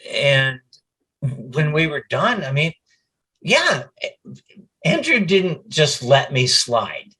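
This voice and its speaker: A man's voice: on the high side, big pitch swings, slow, sharp tone, slightly rough. A middle-aged man, a voice actor, telling anecdotes casually in an interview and acting out bits of quoted speech as he goes.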